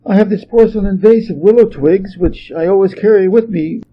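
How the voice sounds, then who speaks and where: Man putting on a high, serene goddess. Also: moderate pace, breathy edge, lo-fi sound. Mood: calm courteous. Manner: polite, formal. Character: serene, courteous female bodhisattva deity, modest and helpful.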